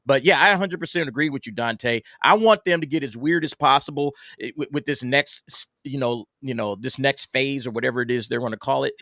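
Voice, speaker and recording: A man's voice: medium pitch, brisk, hardly any pauses, pushed and bright, no room sound, studio quality. A man giving his opinions as a panelist in a casual chat.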